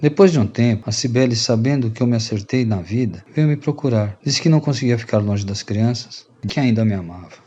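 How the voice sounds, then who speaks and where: A man's voice: mid-range voice, brisk, hardly any pauses, dark tone, husky, dull audio, close to an everyday voice. An actor playing a repentant Brazilian rogue and former freeloader, telling his story to friends in radio drama style. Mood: earnest.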